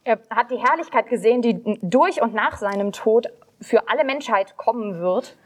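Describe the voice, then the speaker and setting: A woman interpreting a sermon live, rendering each phrase quickly and fluently in a conversational way. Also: fairly high pitch, animated.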